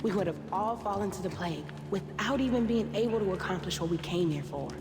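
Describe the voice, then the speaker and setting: A woman's voice: medium pitch, animated, brisk, noisy background, natural voice. An actress playing a grateful, solemn woman adventurer in a fantasy video game, speaking with sincerity. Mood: grateful, earnest. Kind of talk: dramatic video game dialogue. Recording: echoey reverb, loud music underneath.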